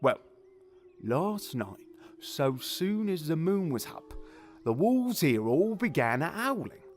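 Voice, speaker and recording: A man's voice: on the high side, very animated, takes it slowly, faint music behind. A man playing a chatty, sly, working-class zookeeper in middle age, rambling folksily in an audio drama. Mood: puzzled, recounting. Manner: folksy, colloquial, rambling storyteller. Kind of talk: audio drama dialogue, character storytelling.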